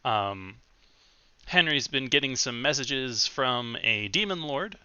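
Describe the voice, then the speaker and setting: A man's voice: medium pitch, expressive, slow, sharp tone, clear, resonant tone, no room sound, dull audio. A man acting as game master, recapping the last session in a loose way with many ums and self-corrections.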